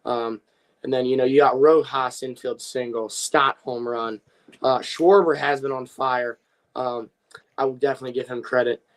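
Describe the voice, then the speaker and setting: Young male co-host, voice worn from a game. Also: medium pitch. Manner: casual, halting sports recap. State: slightly lost voice after a game. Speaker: podcast co-host. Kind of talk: casual sports podcast talk.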